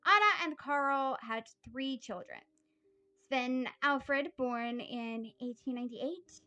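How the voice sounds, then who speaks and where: A woman hosting a history podcast, reading her prepared script in an earnest, steady storytelling way. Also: high-pitched.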